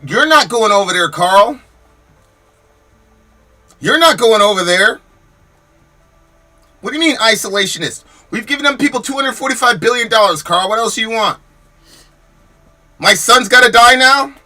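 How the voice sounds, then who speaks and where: Fired-up American man, sharp forceful voice. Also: very high voice, expressive, normal speed, pauses often. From United States. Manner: emphatic, argumentative, fires rhetorical questions; repeats words and restarts when worked up. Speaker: podcast host. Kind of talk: heated livestream commentary answering chat comments.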